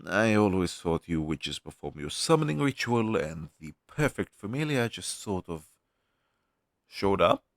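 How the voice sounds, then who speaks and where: Male actor for a demon crow familiar, fantasy roleplay audio: helpful explainer, animated intonation, dry close sound. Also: low voice, measured pace, dry recording, exaggerated voice. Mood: curious, friendly. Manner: conversational, explanatory, reassuring, with thoughtful hums. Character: friendly male demon crow familiar advising a young witch.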